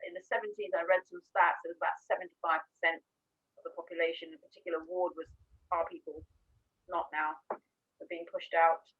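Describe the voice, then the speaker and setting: A woman's voice: lowish voice, steady tone, brisk, a bit bright, breathy edge, highs cut off. A woman in her fifties, originally from Trinidad, telling stories in an earnest, reflective way over a video call. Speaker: radio host and guest.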